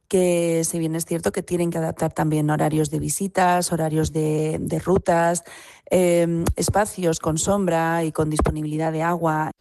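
A woman from giving a measured interview answer as an association director. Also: deep voice, gravelly, mellow, dry recording.

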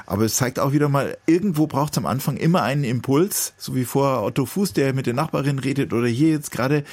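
A man's voice: medium pitch, fast talker, a little mellow, no room sound. A man hosting a live radio phone-in in a conversational, courteous and sympathetic way. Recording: faint background noise.

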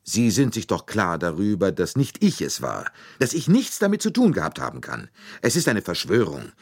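A man voicing a hot-tempered Italian former chauffeur with an Italian accent, who talks loud, quick and indignant. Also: low-pitched, huge pitch swings, husky, voice pushed up, exaggerated voice. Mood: defiant.

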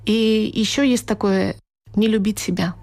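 A woman from Ukraine, an author being interviewed, speaking thoughtfully and pausing to search for words. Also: medium pitch, steady tone, darkish tone. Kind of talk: reflective interview talk.

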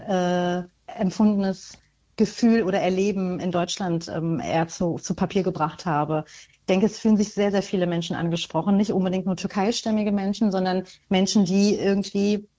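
A woman's voice: mid-range voice, warm tone, lo-fi sound. A middle-aged woman from Germany answering as an author in an interview, reflective and hesitant, repeating words for emphasis.

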